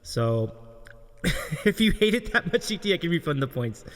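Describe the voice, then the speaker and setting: A man's voice: on the high side, big pitch swings, moderate pace, a bit bright, no echo, studio quality. A man hosting a livestream, chatting casually with his guest and viewers. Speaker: livestream host.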